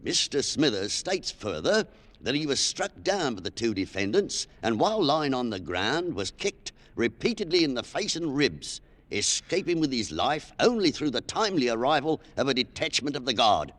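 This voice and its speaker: A male voice in a reverberant 1940s radio play, a dutiful British army sergeant. He is formal and clipped, with a mid-range pitch that rises and falls widely. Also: sing-song, moderate pace, a bit bright. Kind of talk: old-time radio drama acting.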